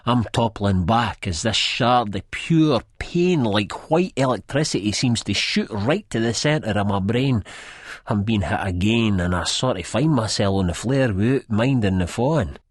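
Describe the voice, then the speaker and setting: A man's voice: fairly low pitch, animated, unhurried, slightly rough, no room sound, clean studio sound. A man with an Edinburgh Scottish accent narrating an audiobook in the first person, dramatically and in dialect.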